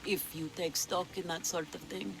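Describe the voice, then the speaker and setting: A woman's voice: fairly low pitch, steady tone, moderate pace, gravelly, noisy background. An actress playing a sly, imperious, treasure-loving pirate queen of mature years, with a languid, theatrical delivery in a video game cutscene. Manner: languid, commanding, teasing, theatrical.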